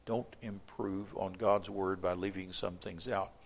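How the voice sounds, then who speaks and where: A man's voice: deep voice, fairly even intonation. Emphatic male preacher. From from outside Australia. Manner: emphatic, repeats words when stressing a point; reads scripture aloud in measured tones. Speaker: pastor. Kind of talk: sermon.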